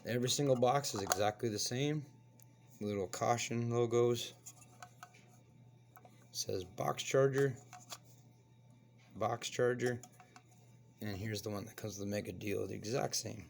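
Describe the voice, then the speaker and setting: A man with a hoarse voice from a cold, hosting a casual toy review and chatting as he unboxes. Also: medium pitch, a little slow, pauses often, a little hiss or hum. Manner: relaxed, chatty, explains as he handles the toy. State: hoarse, getting over a cold. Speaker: toy reviewer, channel host.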